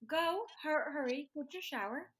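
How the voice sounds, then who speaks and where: A woman plays a long-suffering forties housewife with a high, lilting voice. She nags and dismisses in the animated style of old radio family comedy. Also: measured pace. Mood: brisk, scolding. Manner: nagging, dismissive. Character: scolding, long-suffering 1940s sitcom housewife. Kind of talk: 1940s radio sitcom parody acting.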